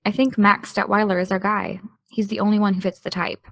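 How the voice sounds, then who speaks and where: Creaky, sardonic teenage girl sleuth played by a woman. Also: medium pitch, level tone, a bit bright, no echo, lightly acted. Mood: reassuring, confident. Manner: dry, sarcastic, brisk. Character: determined, sardonic teenage girl podcaster investigating a case. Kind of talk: naturalistic audio drama dialogue.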